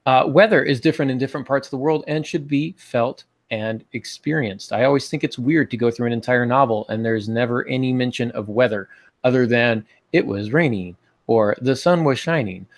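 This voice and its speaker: Creaky-voiced American man talking casually. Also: medium pitch, a little fast. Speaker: podcast host. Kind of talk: casual instructional podcast talk. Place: small home office, indoors. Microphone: earbud headset on video call.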